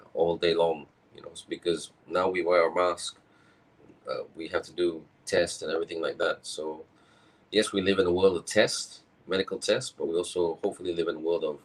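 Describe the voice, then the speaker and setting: A tired man with a croaky voice, speaking candidly on a video call and often restarting his sentences. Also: low voice. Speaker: summit speaker. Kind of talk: personal talk on video call.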